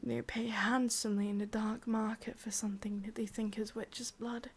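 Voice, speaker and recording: Breathy whispering English witch, played by a woman. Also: fairly high pitch, level tone. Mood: sultry, teasing. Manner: soft, intimate whispering, teasing and unhurried. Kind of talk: whispered ASMR roleplay.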